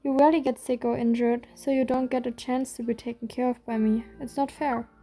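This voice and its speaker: A woman reading a game's story aloud in a steady first-person voice. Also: fairly high pitch, a little fast, soft background music. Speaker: reader.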